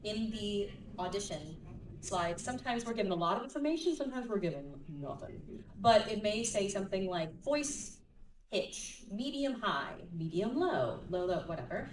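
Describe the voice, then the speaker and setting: A woman's voice: mid-range voice, sing-song, moderate pace. A woman voice actor on a convention panel, thoughtfully explaining her craft through examples. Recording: echoey hall, mic from far off.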